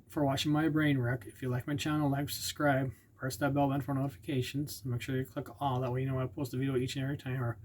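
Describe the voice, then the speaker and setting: A man's voice: medium pitch, a little mellow, slight background noise. A man casually vlogging, partly reading aloud, rambling and unsure with many fillers and self-corrections.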